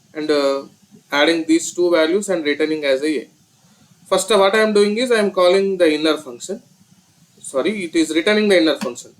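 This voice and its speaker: A man's voice: fairly high pitch, low-quality recording. A man with an Indian accent explaining step by step and repeating himself as a programming instructor in a tutorial. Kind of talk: screen-recorded tutorial explanation.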